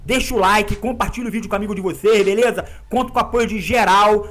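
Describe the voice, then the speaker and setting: Excitable man narrating his gameplay. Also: high-pitched, fast talker. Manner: excitable slangy banter, frequent laughs. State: congested with a cold, sniffling. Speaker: gaming YouTuber. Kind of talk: live gameplay commentary. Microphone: webcam framing, headphones around neck.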